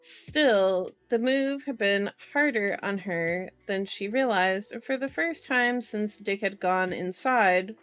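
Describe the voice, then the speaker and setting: A woman's voice: medium pitch, slow, few pauses, fairly bright tone, soft background music. A woman reading a novel aloud in an ASMR style, with informal, giggly asides, as a streamer. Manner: giggly, self-interrupting, informal.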